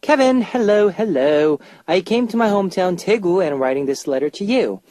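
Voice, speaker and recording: A man's voice: high voice, animated, brisk, warm tone, dry recording. A man casually hosting a music radio show, chatting and reading out listener messages, often repeating phrases.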